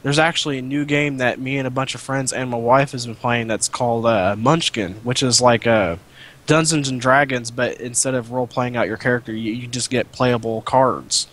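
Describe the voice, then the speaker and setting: A man's voice: medium pitch, steady tone, normal speed, fairly bright tone. A man talking casually as a guest on a gaming live stream, explaining things in a plain, matter-of-fact way. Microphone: cheap headset.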